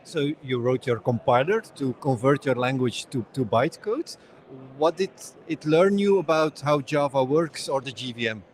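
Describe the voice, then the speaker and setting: A man's voice: medium pitch, expressive, moderate pace, a little hiss or hum. A man interviewing a guest at a tech conference, asking curious questions with light jokes. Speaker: interviewer, host. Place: conference venue.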